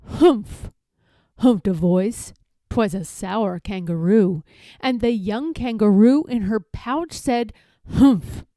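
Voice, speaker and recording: A woman reading a children's storybook aloud as storyteller, in a careful, deliberate and expressive way. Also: medium pitch, no echo, clean studio sound.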